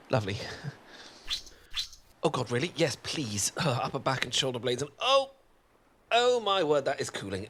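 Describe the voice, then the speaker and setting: A man's voice: medium pitch, fairly bright tone, slight background noise. A British man in an ASMR roleplay, talking in a flustered, stammering, self-deprecating way with nervous laughs and sighs.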